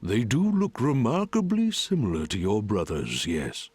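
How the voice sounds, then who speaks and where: Deep, husky old elder. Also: male voice, very animated, a little mellow, soft background music, exaggerated voice. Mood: solemn explaining. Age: older. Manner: grave, measured, theatrical. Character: solemn, wise old male reptilian village elder. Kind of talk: video game character acting.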